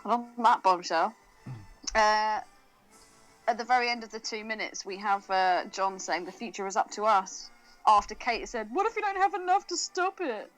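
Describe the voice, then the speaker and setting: A woman's voice: medium pitch, lively intonation, moderate pace, fairly bright tone, faint music behind, rough recording. A woman co-hosting a podcast with blunt, teasing, casual banter. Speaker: podcast co-host.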